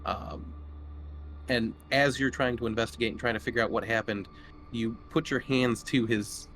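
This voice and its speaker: A man's voice: mid-range voice, fairly even intonation, moderate pace, a bit bright. A man narrating a tabletop horror game as game master, conversational and descriptive. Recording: noticeable background noise.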